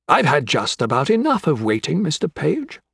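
A man voicing an older gentleman villain in an audiobook, with florid, mock-courteous, taunting speech. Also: fairly high pitch, huge pitch swings, normal speed, creaky, slightly rough, dry recording, studio quality, voice pushed up, full character voice. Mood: cold threat. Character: menacing, theatrical old gentleman crime boss, mocking and sentimental. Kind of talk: dramatic audiobook character acting.

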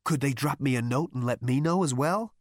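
A man's voice: mid-range voice, fairly quick, no room sound, studio quality, pitched above the performer's own voice, natural voice. A man reading an audiobook, voicing a brash, food-obsessed space rogue who talks in flippant, demanding, incredulous slang. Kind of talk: audiobook character voice.

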